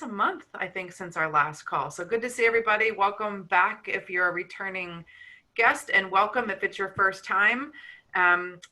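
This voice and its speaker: A woman's voice: mid-range voice, bright, forceful tone. A woman hosting a video call, welcoming guests in an upbeat, easygoing way and laughing easily.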